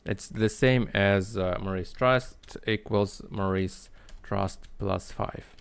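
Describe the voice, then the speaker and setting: A man narrating a screen-recorded coding tutorial in a halting, explanatory way. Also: fairly low pitch, steady tone, vocal fry, smooth. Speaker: tutorial host.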